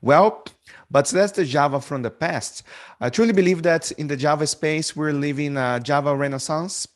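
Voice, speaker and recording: A man's voice: a little high, moderate pace. Upbeat man from Brazil, presenting. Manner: upbeat, joking. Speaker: guest speaker. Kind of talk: tech presentation.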